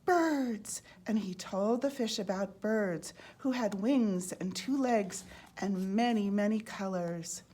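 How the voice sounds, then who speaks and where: A woman reading a children's storybook aloud in an expressive way, as a storyteller. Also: medium pitch, slow. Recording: clearly noisy background.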